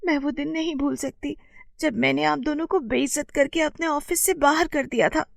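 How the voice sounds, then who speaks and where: Woman as a high-pitched, pleading young wife. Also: moderate pace, gravelly, no echo, clean studio sound. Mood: remorseful. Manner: pleading, plaintive. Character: proud young married sister, humbled, distressed and remorseful. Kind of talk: melodramatic animated story dialogue.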